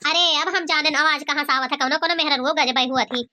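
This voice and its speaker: An elderly village mother-in-law acted by a woman with a very high, piercing voice and an Awadhi accent. She is suspicious and querulous, pressing her point in a cartoon comedy. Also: steady tone, fast talker, pushed and bright, slight background noise. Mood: suspicious, insistent. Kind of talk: amateur cartoon comedy acting.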